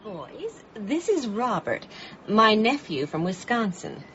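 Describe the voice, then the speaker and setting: A woman's voice: mid-range voice, big pitch swings, slow, a bit bright, full character voice. A woman playing a genteel, hospitable aunt in a radio drama. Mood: politely hospitable. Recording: distant, muffled radio broadcast, faint noise.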